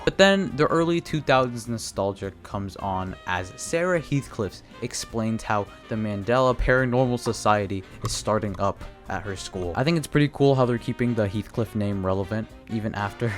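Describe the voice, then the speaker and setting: A man with a fairly low voice talks through a review in a loose, rambling way. His delivery is casual and peppered with swearing. Soft music plays behind him. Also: lively intonation, normal speed, hardly any pauses. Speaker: YouTube reviewer of a horror series.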